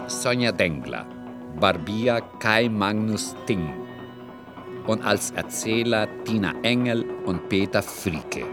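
Male announcer, scripted radio credits, lower pitch, unhurried pace, music behind. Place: studio.

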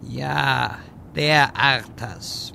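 A man's voice: mid-range voice, extremely slow, creaky, sharp tone, slight background noise, exaggerated voice. A man playing an irritable, sardonic wizard who lectures his companions in a German audio drama parody. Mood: exasperated. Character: exasperated, sarcastic male wizard guiding bumbling adventurers.

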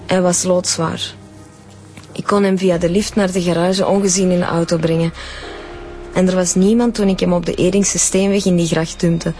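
Actress as a cold Flemish widow confessing, faint music under. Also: female voice, fairly low pitch, little pitch movement, normal speed, a little mellow, close to an everyday voice. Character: Annie, bitter widow who killed her husband. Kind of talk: radio drama acting.

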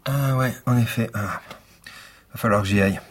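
An actor playing a weary, sardonic police lieutenant with dry, deadpan delivery in a naturalistic fiction podcast. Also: male voice, lowish voice, normal speed, a little hiss or hum, natural voice. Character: weary sardonic male police lieutenant, coffee-fuelled pessimist.

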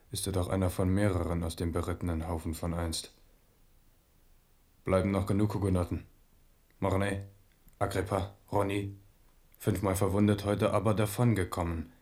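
An actor playing a proud, brooding French king in a radio drama, speaking in a measured, rhetorical way. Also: deep voice, a little mellow. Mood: brooding, resigned. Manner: measured, rhetorical, self-questioning. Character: King Henri of France, brooding, proud, forceful warrior. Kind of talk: German radio drama acting.

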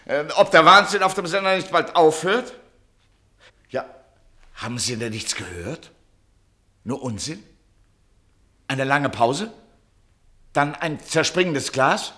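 An actor in a German radio play playing a frantic, bewildered station director who blusters and stammers. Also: male voice, fairly high pitch, big pitch swings, slow pace, long pauses, bright, forceful tone, full character voice. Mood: agitated, bewildered.

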